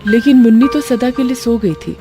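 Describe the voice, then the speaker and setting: A woman's voice: on the high side, fairly quick. A woman reading a scripted story as a narrator, in a storytelling style. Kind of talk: scripted narration of a Hindi moral horror story. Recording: music under the voice.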